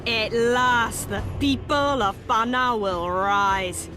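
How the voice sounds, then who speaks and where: A woman's voice: on the high side, takes it slowly. A woman playing a self-important revolutionary leader in a video game, pompous and declamatory. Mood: rousing, self-important. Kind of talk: video game cutscene acting. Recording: music behind the voice.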